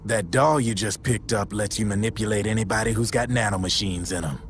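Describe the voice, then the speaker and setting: An actor playing a gruff middle-aged male soldier calmly recounting a grim story in a game cutscene, with a matter-of-fact manner. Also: fairly low pitch, a little fast, faint music behind, lightly acted. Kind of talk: video game cutscene acting.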